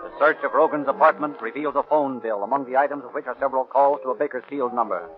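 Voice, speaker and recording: Fast-talking guy narrating old radio crime show, formal, tinny, faint music. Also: mid-range voice. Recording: tinny vintage 1930s radio recording, music.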